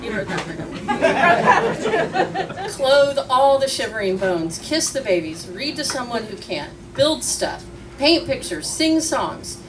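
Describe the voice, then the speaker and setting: A woman reading a letter aloud at a memorial gathering, giving short listed phrases with pauses between them. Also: on the high side, animated, a loud crowd. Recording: distant mic, noisy crowded venue.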